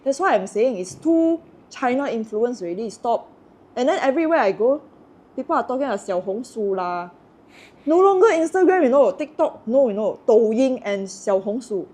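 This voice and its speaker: A woman's voice: a little high, big pitch swings, moderate pace, a little mellow. A woman from Malaysia with a Malaysian English accent, chatting casually and giving strong, indignant opinions. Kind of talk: casual chat.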